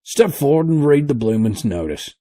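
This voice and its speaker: A man voicing a coarse, bullying male sailor who shouts his words. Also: medium pitch, very animated, moderate pace, no room sound, studio quality, pitched above the performer's own voice, close to an everyday voice. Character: rough, loud-mouthed male sailor, bullying.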